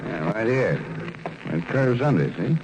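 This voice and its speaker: An actor playing a plain-spoken, curious garage sidekick with an American accent in a 1940s-style radio crime drama. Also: male voice, on the low side, sing-song, unhurried. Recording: noisy old radio recording.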